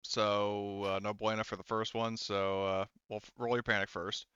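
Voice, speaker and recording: A man acting as game master in casual tabletop play, talking in a halting way as he thinks aloud. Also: fairly low pitch, flat delivery, fairly bright tone, clean tone, dry recording, muffled recording.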